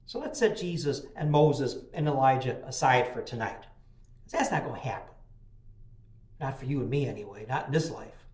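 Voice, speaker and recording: A man's voice: mid-range voice, animated, normal speed, a little mellow, roomy sound. An older man preaching a midweek sermon in a small church, telling folksy stories with frequent restarts. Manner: folksy storytelling with repeated restarts. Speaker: pastor. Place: small church chapel, indoors.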